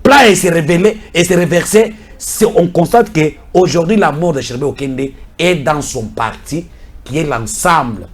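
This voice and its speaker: A man's voice: a little high, moderate pace. A Congolese man in a heated political talk, speaking emphatically and insistently with many repetitions. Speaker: political commentator. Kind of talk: heated political talk mixing French and Lingala.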